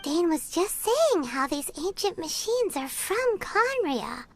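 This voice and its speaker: Tiny excitable fairy sidekick, very high, bouncy pitch, faint hiss behind. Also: female voice, slow pace, heavily acted. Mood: excited. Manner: refers to herself in third person, excitable. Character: tiny floating fairy companion, chatty and curious. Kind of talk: video game voice acting.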